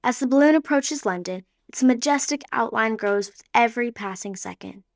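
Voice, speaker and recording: A woman giving clear, descriptive scripted narration for a radio drama. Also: a little high, normal speed.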